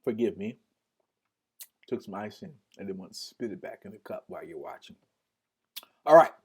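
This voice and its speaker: A man, speaking in a fairly low voice, leads a streamed lesson on Hebrew words. He says each one several times and spells it out slowly, letter by letter. He restarts phrases often and talks directly to the people watching. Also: breathy edge. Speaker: teacher leading an online Hebrew lesson. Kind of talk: live-streamed religious teaching.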